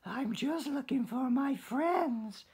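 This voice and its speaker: A man voicing a small, eager young male fish in a storybook, calling out in squeaky exclamations. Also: lively intonation, slow pace, darkish tone, slightly rough, full character voice. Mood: hopeful.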